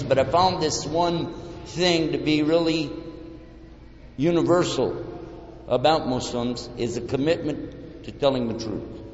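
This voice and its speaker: A man's voice: a little high, a little hiss or hum. A man from Texas with a Texan accent, giving a joking, folksy talk to a live audience in a large hall. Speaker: lecturer. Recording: echoey hall, dull lo-fi sound.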